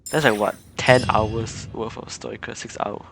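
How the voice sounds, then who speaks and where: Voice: mid-range voice, steady tone, moderate pace, vocal fry, background noise. A streamer giving casual, giggly gameplay commentary and often exclaiming to themselves. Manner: exclaiming, giggly, self-talking. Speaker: streamer playing a game. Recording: faint game music behind. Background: game music and dialogue.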